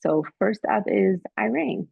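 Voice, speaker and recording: A woman's voice: mid-range voice, animated, measured pace, creaky, husky, no room sound. A woman from admissions hosting a webinar, giving practical advice with many fillers over a video call mic. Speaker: admissions staff host. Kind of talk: webinar presentation. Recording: muffled, dull webinar audio.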